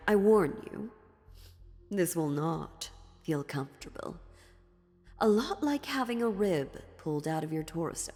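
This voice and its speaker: Casting: ancient demoness played by a woman, lowish voice, unhurried, mocking and dominant, dark fantasy roleplay with music beneath. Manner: slow, taunting, theatrical, deliberate pauses. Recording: long reverb, music underneath.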